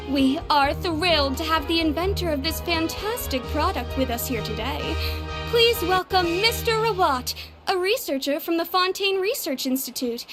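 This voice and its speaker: High-voiced, polished female presenter. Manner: formal, showy, polished. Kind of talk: promotional announcement, video game acting. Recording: music bed behind voice.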